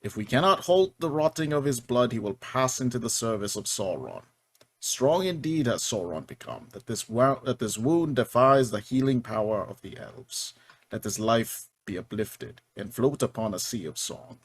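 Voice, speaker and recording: A man's voice: mid-range voice, big pitch swings, moderate pace, no room sound. A man playing a grave, stately, ageless elven king in a fantasy table read, formal and declamatory. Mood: solemn, grave.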